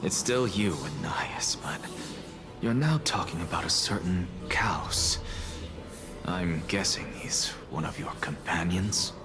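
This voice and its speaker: An actor playing a weary, injured young male adventurer in a video game, speaking in a hushed, musing way. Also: low-pitched, expressive, takes it slowly, over music, full character voice.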